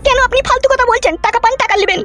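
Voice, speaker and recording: Woman acting a very high-pitched, defensive cartoon wife. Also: rapid-fire, fairly bright tone, soft background music. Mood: protesting. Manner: evasive, protesting. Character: defensive, put-upon young runaway wife and tenant. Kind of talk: comic cartoon dialogue.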